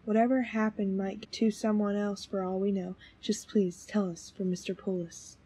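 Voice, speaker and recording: A woman playing a detective's gentle companion in an audio drama, with a soft, husky, pleading voice. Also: mid-range voice, level tone, normal speed, lightly acted. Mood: reassuring, pleading.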